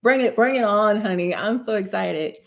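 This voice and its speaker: A woman in her thirties hosting a live hair care Q&A, chatty and animated, calling viewers honey and sis. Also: medium pitch, normal speed, creaky. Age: 30s. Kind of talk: live stream Q&A, casual chat.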